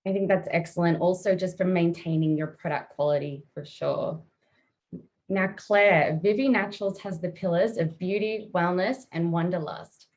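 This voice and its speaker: A woman moderating a video-call panel, asking smooth, scripted questions. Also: on the low side, darkish tone.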